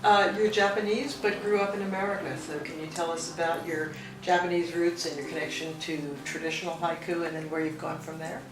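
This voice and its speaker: An interviewer who introduces the guest and asks polite, measured questions. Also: medium pitch, level tone. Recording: roomy echo, distant mic, music.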